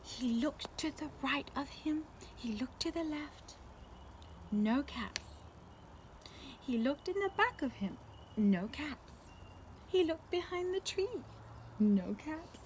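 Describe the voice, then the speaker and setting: A woman with a high, very animated voice reads a children's picture book aloud. She takes her time, leaving dramatic pauses and asking young listeners questions. Faint noise sits behind her. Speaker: storyteller reading to children.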